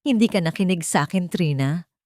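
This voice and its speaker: A woman's voice: on the low side, lively intonation, a little fast, a little mellow, no echo, clean studio sound, close to an everyday voice. A woman voicing a smug, cruel young woman who threatens the heroine in an audio drama. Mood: threatening. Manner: cold, threatening.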